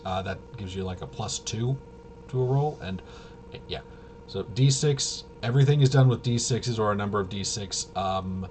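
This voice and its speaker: A man giving a casual, unscripted game walkthrough in a hesitant, rambling way, often trailing off and correcting himself. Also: low-pitched. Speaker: tabletop game reviewer and presenter. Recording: clearly noisy room ambience.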